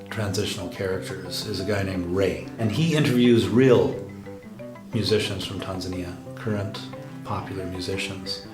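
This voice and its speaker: A man giving an explanatory, documentary-interview style account of a production, pausing mid-sentence to find his words. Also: fairly low pitch. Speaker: radio drama project lead explaining the production. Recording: roomy echo with loud music behind. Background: music with guitar.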